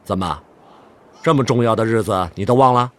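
An actor playing a brotherly but stern army officer in a Chinese radio drama, with emphatic, expressive delivery. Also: male voice, fairly low pitch, a little fast, close to an everyday voice. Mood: teasing, hearty. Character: hearty older army officer, brotherly yet stern male friend.

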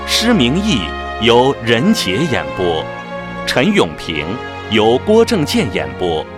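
A man reading scripted credits as a radio drama announcer, in a formal, measured, declamatory manner. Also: mid-range voice. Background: background music.

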